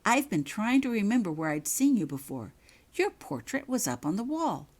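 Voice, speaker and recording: A woman's voice: medium pitch, big pitch swings, moderate pace, darkish tone, voice pushed up. A woman plays an earnest young schoolgirl witch in a fantasy storybook. The small voice is polite and rises and falls freely. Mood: pleased.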